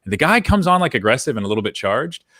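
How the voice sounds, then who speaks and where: A man's voice: medium pitch, very animated, fast talker, bright, forceful tone, no room sound. An American man in his thirties chatting casually as a podcast host, telling things in an informal, anecdotal way into a close microphone. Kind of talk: casual chat. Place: indoor room with brick wall. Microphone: close desk microphone on a video call.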